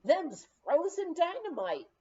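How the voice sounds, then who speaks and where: A woman's voice: very high-pitched, big pitch swings, takes it slowly, dark tone, voice pushed up, heavily acted. A woman voicing a folksy, plainspoken old male miner in an audiobook memoir, with rustic, colloquial phrasing, on a dull recording. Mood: alarmed.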